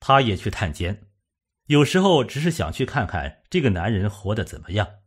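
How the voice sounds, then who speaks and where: Husky low-voiced male audiobook narrator. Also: sing-song, normal speed. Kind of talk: scripted suspense novel narration.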